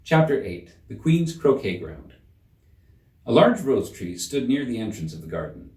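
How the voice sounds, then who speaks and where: A man giving a measured, theatrical reading of a children's story in an echoing room. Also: mid-range voice, very animated, darkish tone, recorded from a distance. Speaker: audiobook narrator. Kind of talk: expressive scripted storybook narration. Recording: roomy echo, slightly off the mic.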